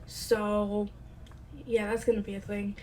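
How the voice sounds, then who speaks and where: A woman's voice: mid-range voice, little pitch movement, slow pace, darkish tone, a little hiss or hum. A woman hosting a casual video game review, chatty and playful, sounding congested and a bit nasal from a cold. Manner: chatty and playful, with light asides. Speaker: host of a gaming channel.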